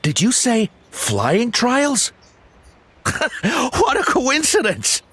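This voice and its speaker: A man's voice: very high voice, wildly swooping pitch, very slow, fairly bright tone, breathy edge, no echo, heavily acted. A man voicing an earnest traveling merchant in a game cutscene. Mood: salesy. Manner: earnest, salesy. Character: eager, grateful traveling male merchant.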